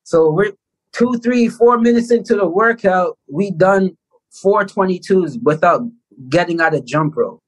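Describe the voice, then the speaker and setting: A man in his thirties from Canada, a basketball player telling stories as an interview guest in a casual, animated way. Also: sits high, unhurried, poor audio. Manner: animated storyteller, acts out quotes loudly.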